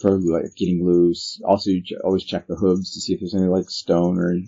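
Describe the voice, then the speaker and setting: A man's voice: sits low, monotone, a little fast, mellow, breathy edge, dull audio. A man working as game master, narrating a tabletop role-playing game in an explanatory, instructive way.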